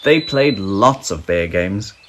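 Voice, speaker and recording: A storybook narrator reading aloud to young children in a deliberate, expressive scripted style. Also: low voice. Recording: faint background noise with sound effects.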